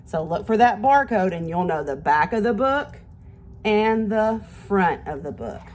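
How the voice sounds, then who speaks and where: A woman with a medium-pitched, very lively voice reads a picture book to young children as their teacher. She takes her time, keeps her wording simple, and speaks straight to the children with questions and encouragement. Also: a little slow, slight background noise. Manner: expressive, simple wording, encouraging praise, addressing children directly. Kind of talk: children's read-aloud lesson with questions and pauses.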